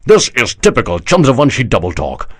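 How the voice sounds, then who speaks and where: A man's voice: medium pitch, huge pitch swings, fairly quick, husky, pitched above the performer's own voice. A man reading an audiobook, voicing a proud, scornful male army general who will not bend. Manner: scornful, unbending. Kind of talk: audiobook character voice.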